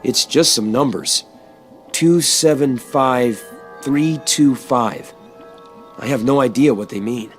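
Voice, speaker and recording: A man's voice: mid-range voice, takes it slowly, faint music behind, lightly acted. A man playing a frightened, guilt-ridden civilian in a video game, anxious and earnest. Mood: shaken.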